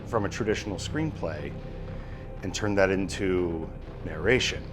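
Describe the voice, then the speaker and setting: A man's voice: low-pitched, expressive, a little slow, over music. A man who works as a writer, talking reflectively in an interview about the craft. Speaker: screenwriter.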